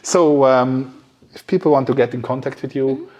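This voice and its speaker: Male, relaxed soft-edged tone, average pitch, casual interviewer, agreeable, hesitant phrasing. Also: normal speed, muffled recording. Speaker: podcast host.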